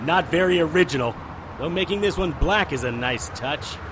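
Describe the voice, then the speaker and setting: An actor playing a cocky, sarcastic private investigator in an audio drama. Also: male voice, a little high, animated, normal speed, background noise, close to an everyday voice. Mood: wry. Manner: sarcastic, wisecracking.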